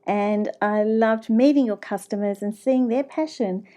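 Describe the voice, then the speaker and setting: A woman's voice: on the high side, unhurried, mellow. A woman hosting a casual interview in a gushing, encouraging manner. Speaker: interviewer, host.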